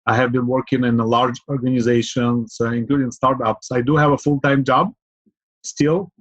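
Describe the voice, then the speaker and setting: A middle-aged man from Ukraine, a podcast guest, talking in an earnest, measured way with fillers, often asking "right?". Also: medium pitch, fairly even intonation, no room sound, highs cut off. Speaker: guest, real estate investor. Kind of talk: podcast interview.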